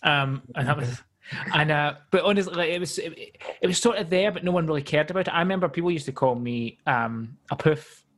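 A man's voice: fairly high pitch, brisk, fairly bright tone. A man, telling stories in an easygoing, casual chat. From Glasgow, Scotland.

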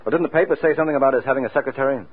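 A man's voice: mid-range voice, racing, breathy edge, close to an everyday voice. A man playing a cool, wisecracking, flirtatious private detective in a 1940s radio drama, with a breezy, sardonic, teasing way of talking. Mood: probing. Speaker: radio drama lead actor. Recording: tinny, band-limited old radio recording.

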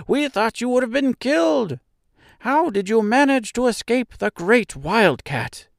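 A small field mouse, played by a man, speaks in a high, shrill voice. It is eager and deferential, a loyal little subject in a theatrical storybook. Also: expressive, a little slow, gravelly, dry recording, clean studio sound, heavily acted. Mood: anxious. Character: small excitable field mice, loyal subjects of their queen. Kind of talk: theatrical storybook character reading.

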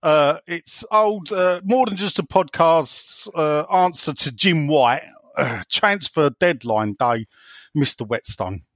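A bantering man runs the show, his voice a little higher than most men's. It sounds very dry and close, with no room around it. He talks in stop-start bursts full of "uh"s and teasing asides. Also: expressive, takes it slowly, a bit bright, no echo. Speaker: podcast host standing in. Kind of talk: casual chat, jokey.

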